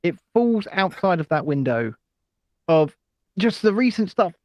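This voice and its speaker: A man's voice: high-pitched, sing-song, a little slow, a little mellow, dry recording. Rambling man, dry close sound. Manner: rambling, tangent-prone, lots of ums. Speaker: podcast co-host. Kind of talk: casual live chat.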